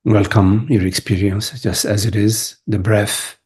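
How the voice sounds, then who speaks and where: A man leading a guided meditation as a teacher, speaking gently in short phrases with long pauses. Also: lowish voice, flat delivery, breathy edge. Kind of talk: guided meditation, spiritual talk.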